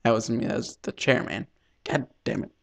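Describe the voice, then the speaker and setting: A man's voice: medium pitch, a little fast, vocal fry. A man hosting a let's play, reading visual novel narration aloud dramatically and stumbling over the text now and then. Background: faint game music.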